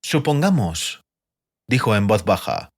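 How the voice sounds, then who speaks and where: A man's voice: on the low side, very animated, normal speed, a bit bright. A man narrating a fantasy audiobook as a scripted storyteller.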